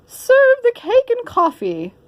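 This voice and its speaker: Woman putting on a high, indignant fussy wife. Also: wildly swooping pitch, slow pace, no echo, lo-fi sound, heavily acted. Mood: bossy. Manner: exclaiming, indignant. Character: exasperated, fussy married woman, easily scandalized. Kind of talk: children's storybook character reading.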